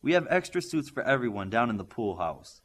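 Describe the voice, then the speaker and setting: A man's voice: medium pitch, very animated, a little fast. A man voicing a gracious, practical adult mother who hosts young superheroes in a storybook. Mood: reassuring.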